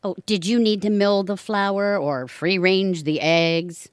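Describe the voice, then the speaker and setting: An actress playing a scheming, sardonic middle-aged wife in an audio theatre comedy, with a dry, wry delivery. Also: female voice, medium pitch, fairly even intonation, takes it slowly, clear, resonant tone, no echo, natural voice.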